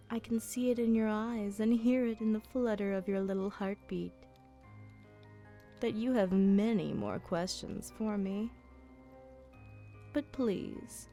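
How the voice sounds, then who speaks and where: A mellow, dark-toned vampire noblewoman acted out by a woman speaks very slowly over music. She is formal and possessive, with a condescending, softly threatening poise suited to an intimate ASMR roleplay. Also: fairly high pitch. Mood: soothing, faintly menacing. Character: aristocratic female vampire, coolly menacing, possessive, condescending. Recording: music behind the voice.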